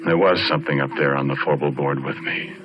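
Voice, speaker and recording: An actor playing a weary, haunted oil driller who tells his horror story haltingly and plainly in a 1940s radio drama. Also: very deep voice, fairly even intonation. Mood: grim, reflective. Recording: muffled, noisy 1940s radio broadcast recording.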